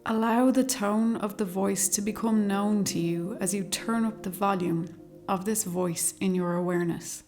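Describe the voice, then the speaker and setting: A woman leading a guided meditation, speaking slowly and calmly in a soothing, measured way with long pauses. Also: medium pitch. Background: meditation music with singing bowls.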